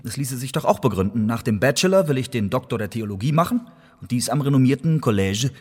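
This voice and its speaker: A man's voice: mid-range voice, animated, fast, darkish tone, slightly rough. An actor playing a thoughtful young student recruited as a spy in a German radio play, speaking hesitantly as he ponders. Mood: tentative. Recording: reverberant hall-like room.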